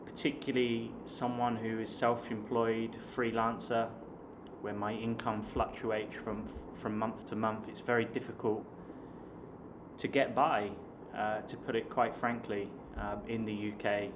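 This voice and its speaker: A man in his late thirties from the south of England speaks in a fairly low voice with a southern English accent. He chats to his viewers and calls them guys. Clear background noise runs under the recording. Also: level tone, measured pace. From Hampshire, south of England. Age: late 30s. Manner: chatty, addresses viewers as guys. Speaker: online English teacher and vlogger. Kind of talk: casual talk to camera.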